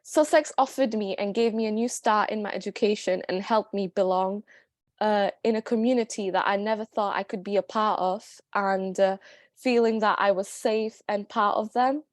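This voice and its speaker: A woman giving a sincere, slightly halting personal speech to an audience. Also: medium pitch, steady tone, no echo, studio quality. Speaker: student speaker.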